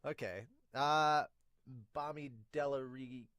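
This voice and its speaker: Male podcast host, casual and blunt reviewer, mid-pitched voice, dry close recording. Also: slow pace, fairly bright tone, clean tone, no room sound. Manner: blunt, mildly profane. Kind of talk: casual review and reading viewer comments aloud.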